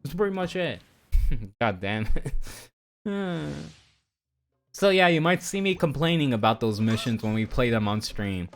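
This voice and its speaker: A man's voice: medium pitch, lively intonation, unhurried, long pauses. A man chatting casually and informally as a game livestreamer, rambling with opinionated, exasperated asides. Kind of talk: casual rambling stream chat about games. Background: background music.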